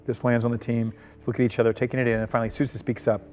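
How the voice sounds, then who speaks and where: Man, script narrator, brisk pace, middle pitch, reading directions aloud, faint music. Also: level tone, a little mellow. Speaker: script narrator at a table read.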